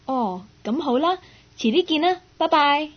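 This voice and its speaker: A friendly, inquisitive young woman in a Cantonese radio drama. Her voice sits high, with a Hong Kong accent, and the recording is slightly dull and lo-fi. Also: expressive, measured pace. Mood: polite, casual. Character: curious, friendly young woman, part-time worker, nicknamed Meow. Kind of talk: radio drama acting with first-person narration.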